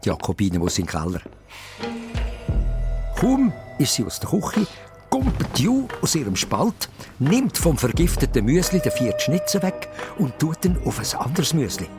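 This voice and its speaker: A man's voice: a little high, huge pitch swings, normal speed, music in the background. A man with a Swiss accent narrating a children's radio play as a suspenseful story.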